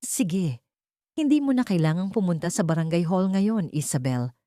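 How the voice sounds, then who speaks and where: A woman voicing a serious male police officer in an audio story, calm, firm and official. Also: lowish voice, moderate pace, no echo, studio quality, close to an everyday voice. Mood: softened, firm.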